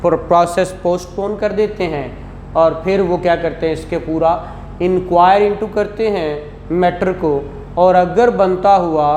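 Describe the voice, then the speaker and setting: A man's voice: high voice, steady tone, measured pace, a little mellow. A man with a Pakistani accent giving a classroom law lecture in a didactic way, asking rhetorical questions and repeating key phrases. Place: indoor classroom. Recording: echoey classroom with faint noise.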